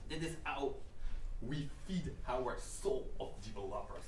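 A man's voice: medium pitch, lively intonation, unhurried, recorded from a distance, a little hiss or hum. A man giving a humorous, self-mocking keynote to a live audience in an event room, talking into a handheld mic. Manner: joking, self-mocking, playful asides. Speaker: keynote speaker. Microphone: handheld mic, filmed from the back of the room. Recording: echoey room recorded from afar.

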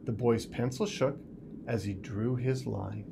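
A man reads a children's picture book aloud with deliberate, expressive storytelling in a small office. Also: mid-range voice, vocal fry, noisy background. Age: 50s. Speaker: school superintendent reading aloud.

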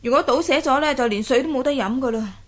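A woman's voice: high-pitched, fast. An actress playing a curious, plucky young fisher maiden in a radio drama, exclaiming and sighing as she talks to herself. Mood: tired, thirsty. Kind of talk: Cantonese radio drama acting.

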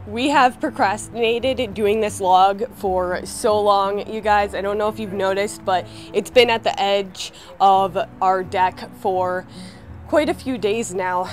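A woman's voice: mid-range voice, a little mellow. A young woman talking casually and upbeat to her viewers at an outdoor sawmill, recorded on a camera mic a few feet away. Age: young adult. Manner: chatty, upbeat, addresses viewers directly. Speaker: sawmill vlogger. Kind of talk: casual vlog talk to camera.

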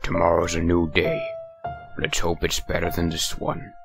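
A man voicing an exasperated, theatrical mad scientist villain in a comic dub, swinging between dry grumbling and yelling. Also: deep voice, expressive, normal speed, gravelly, heavily acted. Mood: weary, exasperated. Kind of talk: comic dub character acting. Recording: music bed behind the voice. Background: music.

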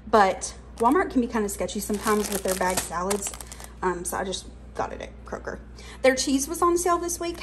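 A woman's voice: a little high, a little hiss or hum. A young adult woman, chatting casually through a grocery haul in her home kitchen, with lots of fillers. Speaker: cooking channel host.

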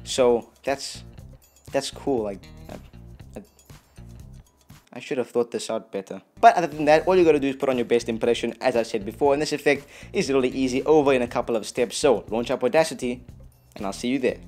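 Young man, tutorial host, chatty, self-deprecating humor, medium pitch, light background music. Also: fairly quick, darkish tone. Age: young adult. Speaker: host of a video effects tutorial channel. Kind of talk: casual tutorial presentation. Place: small dim room indoors.

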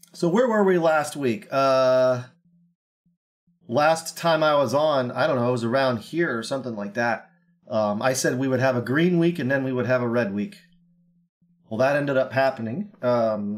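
A young man with a slightly scratchy voice from a cold, talking through a casual market analysis in a brash, joking way with lots of ums and uhs, recorded on a webcam in a small room. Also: medium pitch. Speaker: crypto trading analyst.

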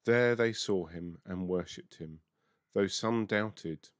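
A man's voice: deep voice, takes it slowly, dry recording, studio quality. A man, a minister, giving a scripted reflection on scripture. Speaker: Baptist minister.

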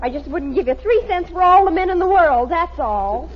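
An actress playing a melodramatic, indignant teenage daughter in a 1950s radio sitcom. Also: female voice, very high-pitched, expressive, moderate pace. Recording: muffled, noisy vintage radio recording.

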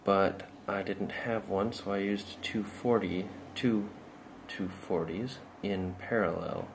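A man giving an informal, halting demonstration of an electronics project, thinking aloud as he goes. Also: on the low side, fairly even intonation, a little hiss or hum, rough recording. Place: indoors at a tabletop workbench. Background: music playing from small speakers.

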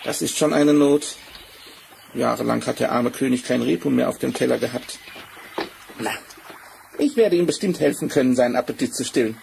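An actor voicing a boastful, cheerful talking tomcat in a theatrical fairy-tale radio play from the seventies. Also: male voice, medium pitch, normal speed, vocal fry, pauses often. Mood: confident, content. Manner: theatrical, self-satisfied, flattering. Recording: noisy old vinyl record rip.

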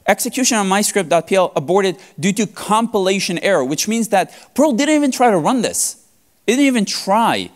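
A man's voice: high-pitched, a little fast. A man in his thirties, a native Hebrew speaker, giving a playful technical talk in a large conference hall on a headset mic. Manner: playful, joking, animated with hand gestures.

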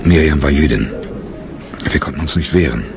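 An actor playing an embittered, haunted and defiant soldier turned theatre leader in a radio play. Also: male voice, rumbling low, normal speed, creaky, background noise. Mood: bitter recollection. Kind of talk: German radio play acting.

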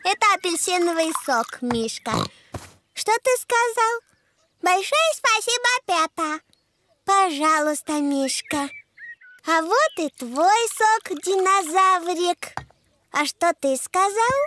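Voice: very high voice, expressive, takes it slowly, full character voice. A very young-sounding performer voicing an eager little girl piglet in a children's cartoon dub. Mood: playful. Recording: loud noise behind the voice.

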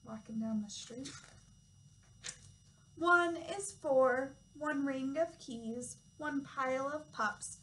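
High-voiced young woman reading to toddlers. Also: takes it slowly, fairly bright tone, poor audio. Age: young adult. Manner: simple, instructive phrasing with questions to children. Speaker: toddler teacher reading aloud. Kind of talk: picture-book read-aloud for toddlers. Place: small classroom, indoors.

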